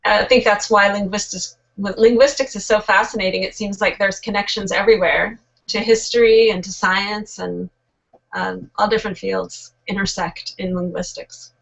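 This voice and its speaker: A woman's voice: medium pitch, steady tone, normal speed, creaky. A woman from California with a Californian accent, a student talking casually in an online discussion over a video call. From California, United States. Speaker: online course student, librarian. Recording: muffled lo-fi video call audio.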